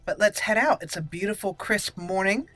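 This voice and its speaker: A woman's voice: mid-range voice, normal speed, a bit bright, faint music behind. A woman hosting a roleplay let's play, chatting casually and playfully as she thinks aloud. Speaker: game roleplay channel host.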